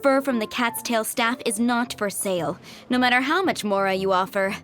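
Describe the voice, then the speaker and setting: A woman's voice: a little high, normal speed, soft background music, natural voice. A woman playing a gracious, cheerful tavern owner in a video game, courteous and playful.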